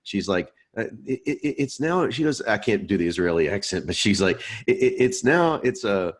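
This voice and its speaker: Deep-voiced chatty male instructor. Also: sing-song, normal speed, breathy edge. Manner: chatty, self-deprecating, laughs often. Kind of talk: online class Q&A.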